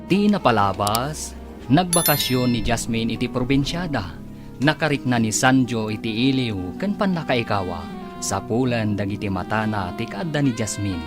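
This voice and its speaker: A man with a Filipino accent narrating and announcing a radio drama with theatrical, drawn-out emphasis. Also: mid-range voice, expressive. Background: music.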